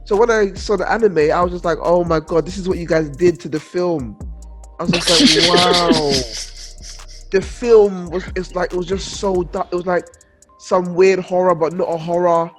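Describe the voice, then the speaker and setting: Male podcast co-host, higher-pitched voice, casual slangy delivery, drives points home by repetition. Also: steady tone, moderate pace, soft background music. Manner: emphatic, slangy, repeats himself for emphasis. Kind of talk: casual chat among friends.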